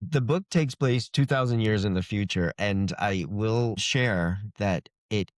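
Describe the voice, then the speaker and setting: Guy with a slightly low voice, explaining stuff casually, wry humor, dry close sound. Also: measured pace, no echo. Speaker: fantasy author being interviewed.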